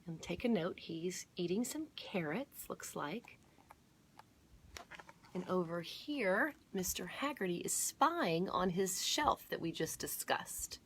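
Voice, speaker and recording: A middle-aged woman hosting a children's storytime, reading a picture book aloud and pausing to point out details for young viewers. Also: fairly low pitch, expressive, fairly bright tone, slight background noise. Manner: explanatory, inviting listeners to look closely and notice details. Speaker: children's storytime host at a museum.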